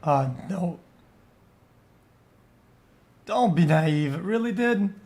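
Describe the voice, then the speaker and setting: A man's voice: high voice, animated, drawn-out words, darkish tone. Blunt young man rambling. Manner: blunt, crude, rambling with restarts. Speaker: streamer reacting to a video. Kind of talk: casual reaction commentary.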